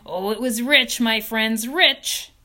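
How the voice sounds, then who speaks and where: A woman voicing a greedy male rat with a husky, hoarse voice and a gloating drawl, reading a storybook. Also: mid-range voice, extremely slow, pushed and bright, full character voice.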